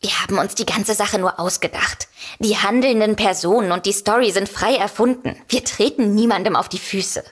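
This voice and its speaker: A woman plays a sensible, worried novelist in an audio drama. She explains things in an earnest, articulate way at a middling pitch. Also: moderate pace, close to an everyday voice. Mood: earnest, concerned. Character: composed, matter-of-fact woman novelist, worried but sensible.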